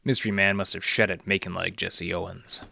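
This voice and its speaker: An actor playing a wry, deadpan library detective who narrates his case in hardboiled old radio style. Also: low-pitched, brisk, creaky, background noise. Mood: tense, wry narration. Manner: deadpan, sardonic, slangy.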